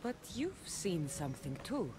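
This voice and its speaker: A woman's voice: lowish voice, sing-song, a little slow, background noise. An actress playing a sceptical young female mercenary of ancient Greece in a video game, speaking in a direct, guarded, questioning way. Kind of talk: dramatic video game cutscene acting.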